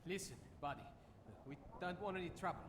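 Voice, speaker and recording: A man's voice: fairly quick, close to an everyday voice. An actor playing a protective, cautious young policeman with a casual manner in a game audio drama. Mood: wary. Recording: noisy, rumbling background.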